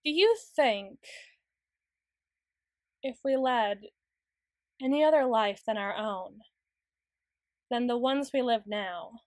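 A woman playing a flustered, prim, studious young tsundere in an ASMR roleplay, speaking in halting, stammering, formal sentences. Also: fairly high pitch, a little mellow, no echo, clean studio sound. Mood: hesitant, tender. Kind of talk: ASMR roleplay, acted monologue.

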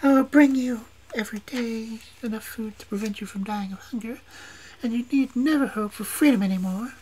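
A man reading a storybook and voicing a fierce, scornful young witch maiden with a shrill, seething delivery. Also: steady tone, normal speed, a little hiss or hum, rough recording. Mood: vengeful. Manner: shrill, high, seething threats.

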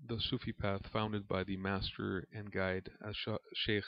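A man reading aloud from a spiritual book as a lesson, in a measured, reverent manner. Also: fairly low pitch, flat delivery. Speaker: reader and religious teacher. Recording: dull, lo-fi recording in an echoey room.

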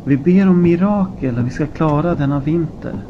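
Casting: male reader as defiant, weary peasant wife, raised pitch, emphatic pleading, audiobook story, noisy lo-fi recording. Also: lightly acted. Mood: weary, earnest.

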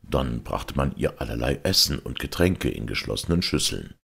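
A man narrating a fairy tale as a storyteller, theatrical and expressive. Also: very deep voice, normal speed, creaky, breathy edge. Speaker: storyteller, audiobook reader.

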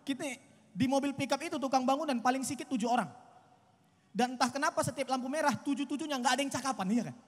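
Young guy from doing stand-up, lively and chatty, handheld mic, voice ringing out with reverb. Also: very high voice, quick pace. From Medan, Indonesia. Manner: chatty, animated storytelling with acted quotes. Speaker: stand-up comedian. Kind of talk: stand-up comedy set. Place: outdoor stage at a night event.

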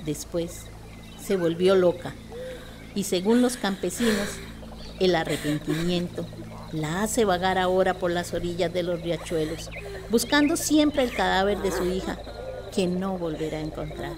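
A woman's voice: on the low side, measured pace. A Costa Rican woman reading a scripted legend as narrator of a radio play. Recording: clear background noise, nature ambience.

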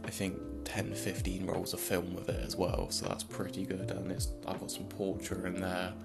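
A young man with a croaky, just-woken voice, rambling casually through a home vlog with lots of restarts. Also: low-pitched, monotone, moderate pace, over loud music. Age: young adult. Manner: rambling, self-deprecating, restarts a lot. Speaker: film photography vlogger. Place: small home office room. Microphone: desk mic in front.

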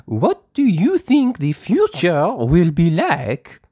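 A woman voicing a kindly female gazelle schoolteacher in a storybook, with patient, drawn-out teacherly phrasing. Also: fairly low pitch, huge pitch swings, warm tone, breathy edge, voice pushed up, full character voice. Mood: patient, instructive.

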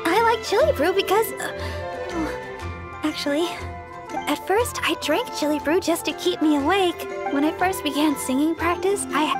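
A woman playing a sweet, earnest young church healer in an anime-style video game, polite and gentle. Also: extremely high pitch. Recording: loud game music behind.

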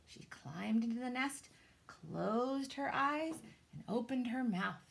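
A woman, reading a children's story aloud in a dramatic, deliberate way. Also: fairly high pitch, animated, creaky. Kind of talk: expressive children's storybook narration. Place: small indoor room with shelves.

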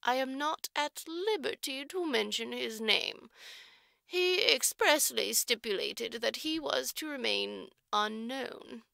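Woman acting a prim, high-voiced orphanage matron. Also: expressive, creaky, pushed and bright, no echo, clean studio sound, full character voice. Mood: affable, self-important. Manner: slow, placid, formal, drawn-out delivery. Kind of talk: audiobook character voice.

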